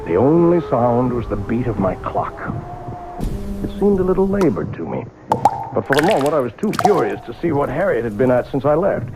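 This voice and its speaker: A man's voice: fairly high pitch, noisy background. An actor playing an obsessive, secretive, irritable shopkeeper who tells his own eerie tale in an intense old-time radio horror style. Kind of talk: old-time radio horror acting, dramatic first-person narration. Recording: muffled old radio recording with music.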